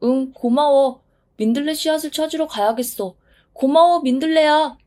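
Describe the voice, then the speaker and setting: A woman's voice: sits high, normal speed, darkish tone, pitched above the performer's own voice, close to an everyday voice. A woman voicing a small, sensitive wind in a storybook reading, earnest and emotional. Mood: grateful. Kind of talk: storybook voice acting.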